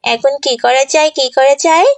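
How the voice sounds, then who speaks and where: A woman's voice: sits high, moderate pace. A woman voicing a cheeky, boastful young schoolgirl who tells lies in a children's moral cartoon. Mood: panicked. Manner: boastful, exaggerated, sly.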